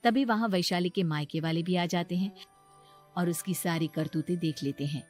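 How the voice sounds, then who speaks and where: A woman's voice: lowish voice, normal speed, a little mellow, faint music behind. A woman narrator reading a scripted story.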